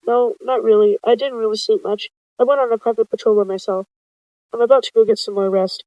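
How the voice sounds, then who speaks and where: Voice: mid-range voice, steady tone, a little fast, darkish tone, dry recording, lightly acted, poor audio. A narrator voicing a worried, questioning male cat deputy in an animal roleplay story. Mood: puzzled.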